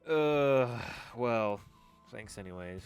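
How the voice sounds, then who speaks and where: A man's voice: medium pitch, expressive, takes it slowly, faint music behind. An actor playing a wry, exasperated young man in an audio drama, with a theatrical, sarcastic delivery. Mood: puzzled.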